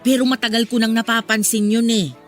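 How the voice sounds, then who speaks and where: A woman's voice: a little high, little pitch movement, a little fast, lightly acted. A woman playing a meddlesome, chatty middle-aged neighbor in a Filipino radio drama. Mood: gossipy. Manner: chatty, prying. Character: nosy gossiping older neighborhood woman, meddlesome but concerned. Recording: music behind the voice.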